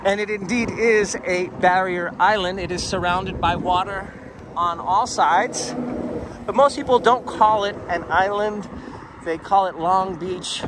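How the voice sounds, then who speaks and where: A man's voice: high voice, unhurried. A man narrating a walking tour in a chatty, digressive way, recorded outdoors on a camera mic while he walks. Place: outdoors on a bridge footpath by the sea. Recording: outdoor street noise. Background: traffic and wind noise.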